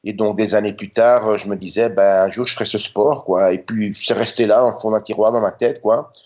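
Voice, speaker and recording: A man's voice: on the low side, steady tone, fairly quick, darkish tone, muffled recording. A man in his fifties with a Belgian French accent, telling stories as a podcast guest in a rambling, chatty way, often tacking on little tag words. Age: 50s. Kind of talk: casual storytelling interview.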